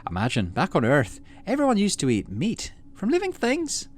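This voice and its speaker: Man doing a cheerful, high-pitched middle-aged aunt. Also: wildly swooping pitch, normal speed, a little hiss or hum. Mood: cheerful, eager. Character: enthusiastic, cheerful aunt who loves cooking.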